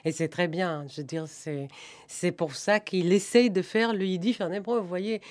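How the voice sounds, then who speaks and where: A woman filmmaker as a radio guest, talking in a lively, conversational way with hesitations. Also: on the low side, moderate pace, no echo. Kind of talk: radio discussion.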